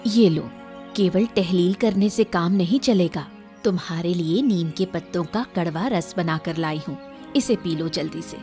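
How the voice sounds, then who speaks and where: A woman's voice: lowish voice, normal speed, warm tone, faint music behind, close to an everyday voice. A woman voicing a firm, caring wife who nags her husband in a cartoon story, insistent and scolding.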